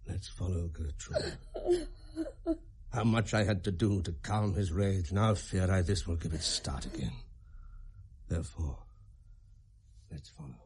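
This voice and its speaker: An actor with a British accent playing a calculating, murderous middle-aged king in a Shakespearean radio drama, speaking in a measured, plotting way. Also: low-pitched, a little mellow, breathy edge, full character voice. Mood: weary, uneasy.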